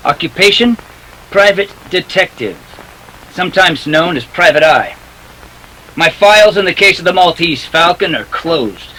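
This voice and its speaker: Guy doing a sardonic 40s radio private eye, sharp forceful voice kinda high, clipped slang, bit of noise behind. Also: poor audio. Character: hard-boiled, wry private detective telling his case. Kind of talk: 1940s radio noir acting.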